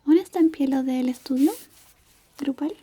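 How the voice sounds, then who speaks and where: A woman doing a soft-spoken, half-whispered ASMR roleplay as a shy, caring high school classmate, gentle and hesitant. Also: moderate pace. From Chile.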